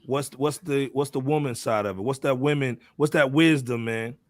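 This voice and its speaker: A man's voice: medium pitch, normal speed, no echo. A man hosting a panel podcast, talking casually in slangy banter and repeating himself for emphasis. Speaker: podcast host moderating a panel. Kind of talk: animated casual music talk.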